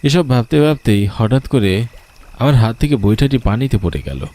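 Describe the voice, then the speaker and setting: A man's voice: mid-range voice, expressive, moderate pace, dark tone, slight background noise. A man telling a scripted first-person horror story as a dramatic storyteller.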